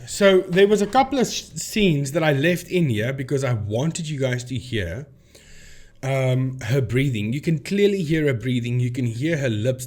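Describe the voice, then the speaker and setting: A man hosting a debunking video, explaining his points emphatically and repeating phrases for stress as he walks through a demonstration. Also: medium pitch. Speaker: video host debunking paranormal claims. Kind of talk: explanatory commentary with screen demonstration.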